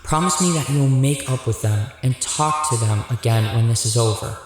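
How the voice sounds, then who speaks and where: A man acts as an earnest, burdened male hero in a radio drama. His mid-pitched voice is serious and sincere, drenched in echo with a long reverb tail. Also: normal speed, close to an everyday voice. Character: earnest, guilt-ridden male leader of heroes.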